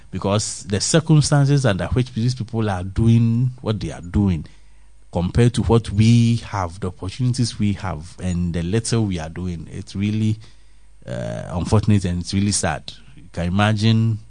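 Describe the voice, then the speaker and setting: A man's voice: low-pitched, warm tone, dry recording. A man reading a faith news story aloud, then musing on it in an earnest, reflective way with restarts and fillers.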